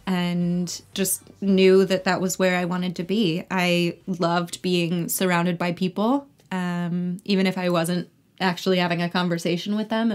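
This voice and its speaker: A young American woman, an actor, chatting casually as an interview guest. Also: fairly low pitch. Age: young adult. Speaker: actor and singer being interviewed.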